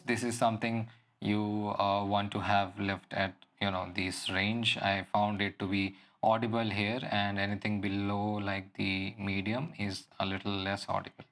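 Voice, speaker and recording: A man giving an unscripted product walkthrough as a reviewer, explaining things in halting phrases. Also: lowish voice, a bit bright. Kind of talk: unscripted app and gadget walkthrough.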